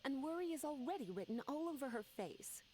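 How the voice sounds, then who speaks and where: A woman's voice: high voice, animated, fairly quick, darkish tone, a little hiss or hum. A woman playing a flirtatious, teasing, self-assured lady in a video game, coy in manner. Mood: playful complaint. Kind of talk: video game character acting.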